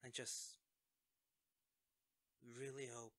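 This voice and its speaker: A man's voice: medium pitch, level tone, extremely slow, sharp tone, dry recording. A man acting a young superhero in an audio roleplay, a sheepish, caring best friend who speaks conversationally and a little hesitantly. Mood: tender, quiet.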